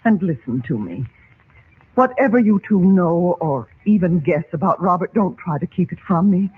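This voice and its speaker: A woman's voice: fairly low pitch, animated, moderate pace, breathy edge. A woman playing an anxious, earnest mother and worried wife in old-time radio drama, with an urgent way of speaking. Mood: insistent. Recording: dull, faintly noisy vintage radio recording.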